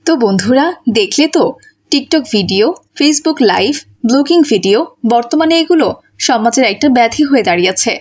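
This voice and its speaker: A woman with a high-pitched voice tells a cartoon story. She works as the narrator, reading from a script. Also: lively intonation, moderate pace.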